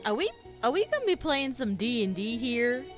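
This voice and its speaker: A woman streamer giving casual, excitable reaction commentary, talking back to the game she is playing. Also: fairly high pitch, moderate pace. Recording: game music behind the voice.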